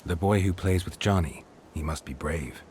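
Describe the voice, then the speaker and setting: A man's voice: low voice, normal speed, darkish tone, a little hiss or hum, lightly acted. A man playing a weary, steadfast monster hunter in a fantasy video game, speaking in a dry, clipped, understated way. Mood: patient, probing. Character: terse, weary, steadfast monster hunter searching for his daughter. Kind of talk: video game character acting.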